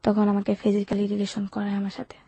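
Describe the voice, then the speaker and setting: A woman's voice: mid-range voice, completely flat delivery, quick pace, warm tone, slightly rough, no echo. A young woman with a Bangladeshi accent tells her life story candidly and colloquially, as an interview guest, often quoting what people said. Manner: colloquial, recounts events with quoted dialogue. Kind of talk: candid personal storytelling in conversation.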